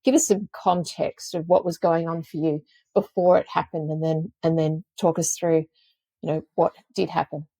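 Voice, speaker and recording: A woman hosting a podcast, earnest and gentle as she introduces and encourages her guest. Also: low voice, level tone, normal speed, slightly rough, dry recording. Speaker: podcast host.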